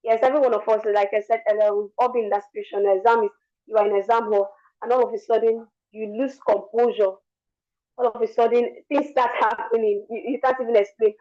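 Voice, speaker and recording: A young woman gives an earnest motivational talk in a worn, hoarse voice that sits a little high. She often repeats words and restarts her sentences as she goes. Her audio comes through dull and lo-fi, like a call. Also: steady tone, fast, mellow. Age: young adult. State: lost her voice. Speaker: guest speaker.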